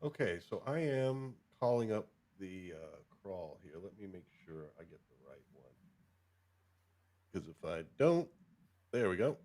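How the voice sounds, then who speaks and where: A man's voice: low voice, big pitch swings, unhurried, a little mellow. A man hosting a casual talk show, chatting in a rambling way as he thinks aloud. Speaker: host of a fan fiction show. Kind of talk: casual chat.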